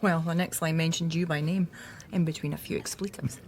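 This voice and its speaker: An actress playing a sharp, wry younger police detective in a radio drama, probing and dryly teasing. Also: female voice, sits low, steady tone, fairly quick. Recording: clear background ambience noise.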